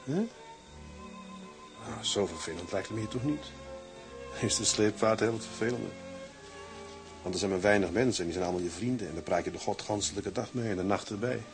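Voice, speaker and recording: An actor playing a plain-spoken, shy married seaman in a radio play, talking in a halting, earthy way. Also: lowish voice, music in the background, natural voice. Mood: matter-of-fact. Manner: halting, earthy sailor's talk.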